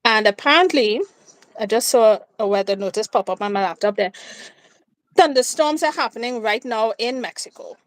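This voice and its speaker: A woman's voice: a little high, moderate pace, dry recording, rough recording. A woman from Trinidad with a Trinidadian accent, chatting casually and playfully as co-host of a live watchalong. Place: indoors in a room at home.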